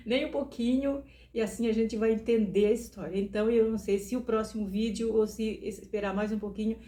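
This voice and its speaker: A woman's voice: fairly high pitch, fairly even intonation, moderate pace, dark tone, roomy sound. A woman host casually addressing her viewers to introduce a testimony. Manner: friendly, coaxing, light laugh.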